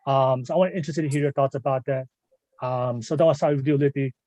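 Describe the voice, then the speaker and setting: A man hosting a live podcast, asking his guests questions in a relaxed, chatty way and often repeating himself. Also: medium pitch, fast talker, dry recording. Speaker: podcast host. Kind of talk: casual live podcast chat.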